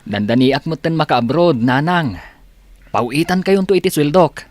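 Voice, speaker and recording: A man's voice: fairly high pitch, animated, normal speed, no room sound, natural voice. A man acting a shy, hopeful young younger brother in an Ilocano radio drama. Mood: eager.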